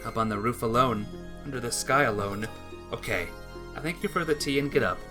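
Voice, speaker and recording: A man reading a visual novel aloud as a let's play narrator, with expressive, earnest storytelling delivery. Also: medium pitch, brisk, over music.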